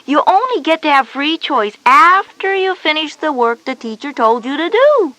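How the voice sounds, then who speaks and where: A schoolgirl big sister, with a high voice and lively swooping intonation. She explains things patiently but a little bossily, stressing her points in a scripted children's educational story. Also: moderate pace, no echo, heavily acted. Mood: bossy. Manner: explanatory, emphatic. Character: knowing, patient but slightly bossy older sister Jenny, schoolgirl.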